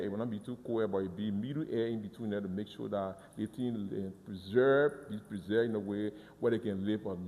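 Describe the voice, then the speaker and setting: Halting man in an echoey hall. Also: on the low side, darkish tone. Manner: earnest, halting, often repeats and restarts words. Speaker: museum guide. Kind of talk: guided tour interview. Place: museum.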